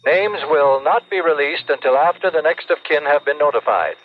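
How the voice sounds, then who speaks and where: An actor playing a formal radio newsreader giving bulletins through a radio filter. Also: male voice, a little high, normal speed. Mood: grave. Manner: formal, clipped announcing. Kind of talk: old radio news bulletin acting. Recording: tinny, noisy radio bulletin sound.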